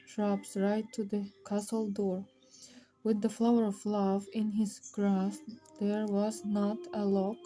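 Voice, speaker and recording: A woman reading a fairy tale aloud as storyteller, with halting, dramatic phrasing. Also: medium pitch, dark tone, rough recording.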